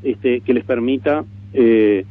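A tinny, narrow radio signal carries a Uruguayan man's mid-pitched voice. He explains his points as an interviewee, deliberately, repeating words for emphasis. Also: a little hiss or hum. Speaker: political interviewee.

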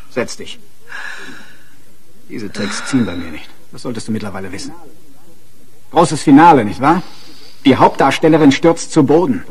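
An actor playing a domineering, abusive husband in a German radio crime drama, sarcastic and hectoring as he talks. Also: medium pitch. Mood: scornful, menacing. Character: domineering, sneering, abusive husband who bullies his wife.

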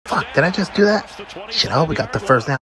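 A man's voice: fairly high pitch, expressive, fast talker, noisy background. A man in a profane, exasperated sports outburst, swearing freely.

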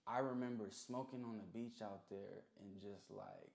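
Young man, low voice in a roomy space. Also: wildly swooping pitch, moderate pace, vocal fry, a little mellow. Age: young adult. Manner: slangy, repetitive emphatic phrasing. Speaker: interview guest, rapper. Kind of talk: casual chat. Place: small recording studio. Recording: roomy indoor echo.

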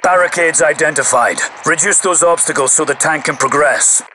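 Higher-pitched military commander on radio. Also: male voice, moderate pace, music in the background, low-quality recording. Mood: businesslike, commanding. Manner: clipped, commanding. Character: stern British military commander giving mission orders over radio. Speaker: mission control commander. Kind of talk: scripted video game military radio dialogue.

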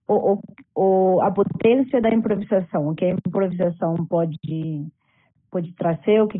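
A woman from Venezuela, a dancer, speaking slowly and thoughtfully on a video call, searching for her words. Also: mid-range voice, breathy edge. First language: Spanish. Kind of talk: video call panel talk. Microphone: laptop mic on video call, camera off. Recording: tinny compressed call audio.

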